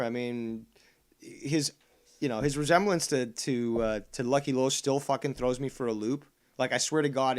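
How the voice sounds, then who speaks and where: Casting: Spanish-first-language Canadian man, mid-pitch, heated, swearing, sarcastic host rebuttal. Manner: combative, profane, sarcastic. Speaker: streamer host. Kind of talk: heated commentary on a clip.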